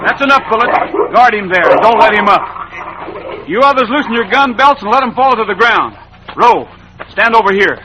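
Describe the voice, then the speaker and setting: An actor playing a steady, fair-minded cowboy lawman in an old western radio show, speaking firmly and straightforwardly. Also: sits high, moderate pace. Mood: urgent, commanding. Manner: firm, straightforward. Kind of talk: 1940s-50s western radio acting. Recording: dull, hissy old radio recording.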